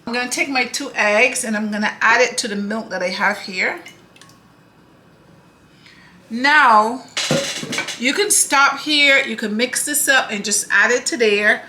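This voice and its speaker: A woman's voice: a little high, unhurried, long pauses, background noise. A woman from Barbados, hosting a home cooking demonstration in a chatty, affectionate way that often checks in with the viewer. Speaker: cooking channel host. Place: home kitchen. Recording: echoey kitchen with dishes clattering.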